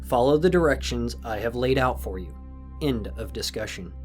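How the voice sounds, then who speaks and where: A man's voice: medium pitch, animated, moderate pace, lightly acted. A man playing a stern, secretive enforcer in an audio drama, speaking with cold, condescending command. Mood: stern, insistent. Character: stern, secretive male enforcer of a mysterious patron. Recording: music under the voice.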